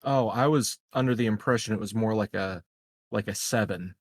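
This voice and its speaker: Low-voiced man, hesitant and measured. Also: no room sound, studio quality. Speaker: tabletop game player. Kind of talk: casual in-character improvised dialogue.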